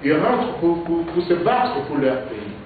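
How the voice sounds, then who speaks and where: A man from Kinshasa, a politician giving interview answers in a halting way, repeating words and using many fillers. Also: on the high side, sing-song. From Congo (Kinshasa). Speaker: politician, presidential hopeful. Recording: echoey, distant, phone-like with noise.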